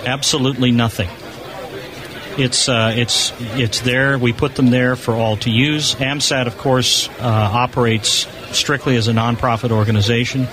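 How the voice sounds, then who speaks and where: A man's voice: mid-range voice, fairly even intonation, normal speed. An American man explaining things informally and enthusiastically as a spokesman in an interview. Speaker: amateur satellite organization spokesman being interviewed. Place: convention hall exhibition booth. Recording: busy crowd noise behind, convention floor. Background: crowd chatter.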